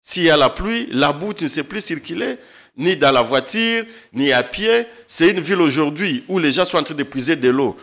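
A man's voice: fairly high pitch, expressive, normal speed, a bit bright. Impassioned male guest in TV studio. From eastern Congo. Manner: impassioned, emphatic, repeats words. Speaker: lawyer and guest. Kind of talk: studio political interview. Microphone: desk microphone.

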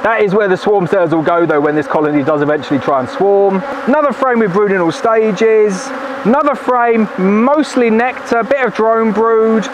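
A high-voiced man talks cheerfully while he works through a hive at an outdoor apiary. His voice comes from a camera set a little way off on a tripod. A faint buzz of bees hangs behind him. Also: normal speed, noisy background. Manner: chatty, upbeat, talks while working with the hive. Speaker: beekeeper. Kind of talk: casual hands-on video narration. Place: outdoor apiary at a forest edge, in the evening. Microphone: camera on a tripod at a distance, wearing a bee suit veil. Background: faint outdoor buzzing of bees.

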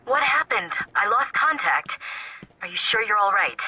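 A woman's voice: mid-range voice, big pitch swings, moderate pace, breathy edge, highs cut off. An actress playing an anxious young woman speaking over a radio link in a video game. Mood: worried. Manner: urgent, anxious.

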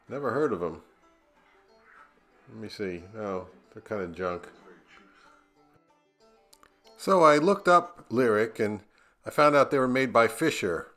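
A man's voice: medium pitch, a bit bright. A middle-aged American man narrating a casual vlog in a wry, conversational way. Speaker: audio gear YouTuber.

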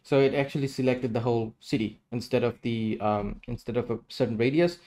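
A man's voice: medium pitch, brisk, a little mellow. Man explaining step by step, casually. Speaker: tutorial presenter. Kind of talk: casual screen-share tutorial.

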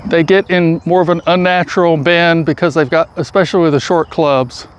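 A middle-aged man teaching golf posture outdoors, giving calm, patient, step-by-step instructions, recorded by a camera standing some way off. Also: on the high side, fairly quick, slight background noise. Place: outdoors on a grassy field. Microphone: far camera, full-body framing. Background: crickets and insects outdoors.

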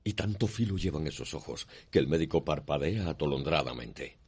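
A man's voice: extremely low pitch, lively intonation, fairly quick, husky, no room sound. A man with a Cuban accent giving dramatic scripted narration as the narrator of a radio soap opera. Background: music.

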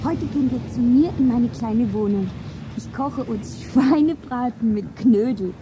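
Eine Schauspielerin spielt in einem Hörspiel eine junge, naive Verkäuferin, zärtlich und sentimental verliebt, mit weicher Stimme. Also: female voice, on the high side, a little slow, dark tone, a little hiss or hum, close to an everyday voice, poor audio. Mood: dreamy, hopeful. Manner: naive, sentimental, self-narrating. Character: slender young shopgirl, tender, wistfully devoted lover. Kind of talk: German radio play acting.

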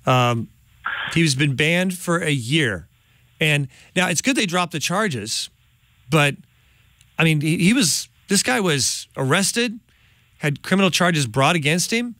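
A man's voice: fairly high pitch, expressive, slow pace, bright, forceful tone, dry recording. A middle-aged man hosting a studio interview, asking emphatic, indignant questions. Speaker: host. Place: studio with large window. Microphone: studio microphone on boom.

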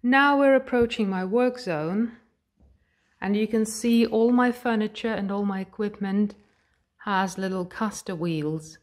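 Unhurried British woman touring her studio. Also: fairly low pitch. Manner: calm, explanatory, pointing things out. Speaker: portrait artist. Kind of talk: guided studio tour narration. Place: home art studio, indoors.

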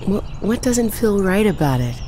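An actress playing an eager, reassuring house-hunting wife in a naturalistic radio play, enthusiastic and coaxing. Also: female voice, mid-range voice, big pitch swings, moderate pace, mellow, slight background noise, close to an everyday voice.

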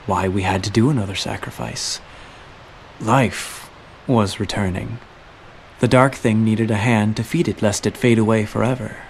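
A man's voice: on the low side, vocal fry, a little hiss or hum, rough recording. A man playing an ancient, grim spirit, narrating a horror story in a solemn, literary, brooding way. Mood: grim, resigned.

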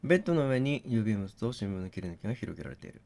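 A man doing gaming commentary, thinking out loud and reasoning step by step, mixed with reading text aloud. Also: fairly low pitch, very animated, rapid-fire, gravelly. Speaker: game streamer reading on-screen text aloud.

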